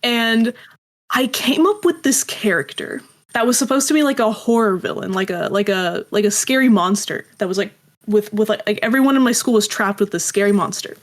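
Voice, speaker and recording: A woman's voice: medium pitch, a little fast. A woman telling personal anecdotes as an interview guest in a casual, rambling, self-deprecating way. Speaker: interview guest, audio drama creator.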